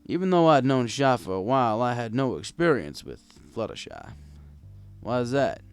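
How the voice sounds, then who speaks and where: A man's voice: medium pitch, very animated, moderate pace, clear, resonant tone, faint music behind. A man narrating a scripted first-person story as a world-weary, kindly old bartender. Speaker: audiobook narrator.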